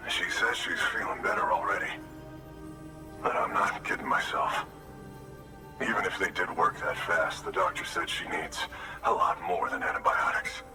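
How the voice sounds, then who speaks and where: A man's voice: deep voice, sing-song, normal speed, creaky, exaggerated voice. A desperate father-to-be. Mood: worried. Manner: urgent, shaken pleading. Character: desperate expectant father, grateful, pleading. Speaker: mountain prepper awaiting medicine. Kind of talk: video game cutscene acting. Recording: distant mic with score music.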